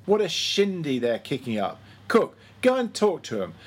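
A brash, hearty ship's officer, acted by a male reader, barking orders in an imperious, mocking way. The voice is pushed well up in pitch from the reader's own, exclamatory and hectoring, for a storybook sea tale. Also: huge pitch swings, moderate pace, breathy edge, full character voice. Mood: complaining, commanding. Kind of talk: audiobook character voice.

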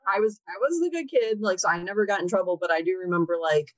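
Woman in her 30s, chatty interview guest, slightly low voice, muffled dry recording. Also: fast talker, dry recording. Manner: chatty, frequent "like" fillers. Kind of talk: casual conversation. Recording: dull lo-fi sound, dry.

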